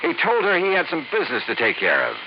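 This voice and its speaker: A man's voice: fairly high pitch, huge pitch swings, fast talker, slight background noise. An American man acting a tough, shrewd investigator in a clipped, dry, hard-boiled manner for a 1950s radio detective drama. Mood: accusing. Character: tough, shrewd male freelance insurance investigator. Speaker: lead actor and narrator. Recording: muffled old radio recording with music.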